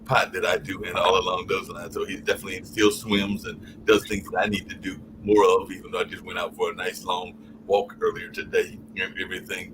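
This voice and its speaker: A man on a live call-in podcast, talking in long rambling stretches and often trailing off with "along those lines". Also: low voice. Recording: noisy stream audio.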